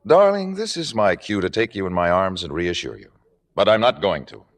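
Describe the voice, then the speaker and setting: A man's voice: low-pitched, very animated, moderate pace, big-room sound. An actor playing a forthright, exasperated male lover with an emphatic, articulate delivery in a vintage radio drama. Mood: angry, exasperated. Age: 30s. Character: exasperated, forthright man scolding his lover. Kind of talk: 1940s-50s radio acting.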